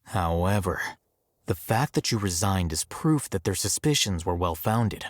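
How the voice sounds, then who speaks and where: An actor playing an aloof, coolly logical young male scholar in a video game, measured, detached and matter-of-fact. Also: deep voice, animated, dry recording, studio quality. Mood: explanatory, grave. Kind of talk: video game character dialogue.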